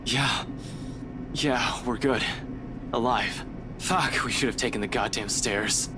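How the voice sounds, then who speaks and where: Woman putting on a gruff, low male cop. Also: takes it slowly, background noise. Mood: weary relief. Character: gruff male cop, rattled, swearing, weary. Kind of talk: visual novel character voice.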